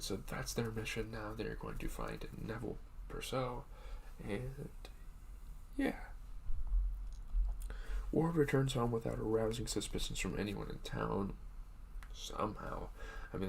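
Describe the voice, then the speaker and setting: A young man, hoarse from just waking up, casually recapping a show episode with a rambling, chatty manner. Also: fairly low pitch, very animated, unhurried, pauses often, a little hiss or hum. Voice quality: gravelly.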